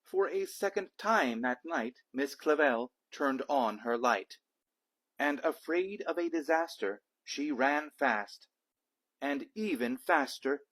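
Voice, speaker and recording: Slow, expressive, slightly husky male storyteller. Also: a little high, rough recording. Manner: measured, rhythmic rhyming delivery. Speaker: read-aloud storyteller, a dad. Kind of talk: children's picture book narration.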